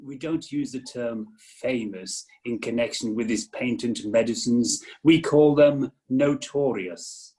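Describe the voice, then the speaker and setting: A man's voice: medium pitch, a little slow, hardly any pauses, warm tone, natural voice. Solemn, self-important medical expert male actor, emphatic and pedantic, for stage-style radio comedy. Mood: pompous, corrective. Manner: pompous, emphatic. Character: pompous mad-doctor, solemn and pedantic. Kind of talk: amateur comic radio play acting. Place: home, recorded over a video call.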